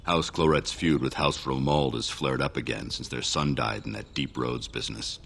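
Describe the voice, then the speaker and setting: Very deep, gravelly dwarf warrior. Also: male voice, steady tone, moderate pace, fairly bright tone, a little hiss or hum, full character voice. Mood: grave, dry. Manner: blunt, weary. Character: gruff, grumbling male dwarf warrior. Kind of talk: fantasy video game dialogue.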